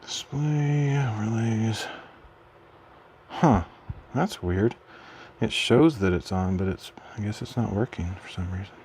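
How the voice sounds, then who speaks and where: A man streaming from his craft room at home, chatting casually while he works, rambling and correcting himself in a mild, low-key way. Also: slow, a little mellow, a little hiss or hum.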